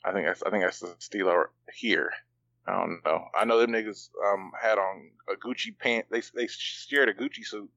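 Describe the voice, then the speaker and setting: Laid-back low-voiced man, rambling. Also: moderate pace, gravelly, sharp tone, muffled recording. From part Bahamian. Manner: loose, rambling, lots of fillers and slang. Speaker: podcast co-host. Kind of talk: casual chat.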